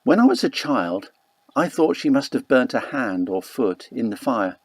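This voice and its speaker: A man narrating a first-person literary novel as an audiobook, reading in a measured, deliberate way. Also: mid-range voice, sing-song, darkish tone, dry recording.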